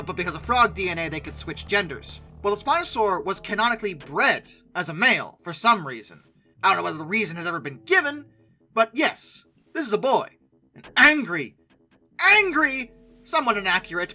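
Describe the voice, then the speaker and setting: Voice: medium pitch, sharp tone. A video host giving casual scripted commentary in a conversational, wry way, with playful emphasis and asides. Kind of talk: casual scripted commentary rating film dinosaurs. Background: background music.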